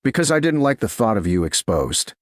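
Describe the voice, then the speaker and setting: Guy doing a steady medieval duke in an audiobook, low voice, calm and terse, protective, dry recording. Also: a little fast, dry recording. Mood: intense, intimate. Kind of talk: audiobook character dialogue.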